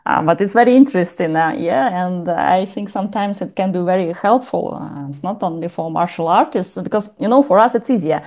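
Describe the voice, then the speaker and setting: A woman with a gravelly voice, giving an informal lecture to a group, halting often with fillers and restarts. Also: fairly low pitch, animated, a little mellow. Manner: hesitant, many fillers and restarts.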